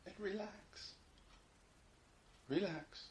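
A man leading a guided meditation, giving unhurried, repeated instructions with long pauses between them. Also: high voice. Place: informal indoor room. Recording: roomy echo, faint background noise.

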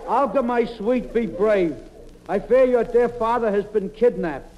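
An actor playing a smooth-talking, scheming suitor in old-time radio comedy, with a deliberate, mock-tender manner. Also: male voice, sits high, lo-fi sound. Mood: sly, soothing. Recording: very noisy old radio recording.

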